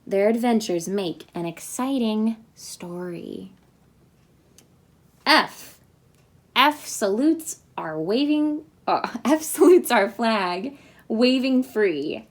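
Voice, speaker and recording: A young woman from Indiana reading a children's alphabet book aloud, with cheerful chatty asides to her young listeners. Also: medium pitch.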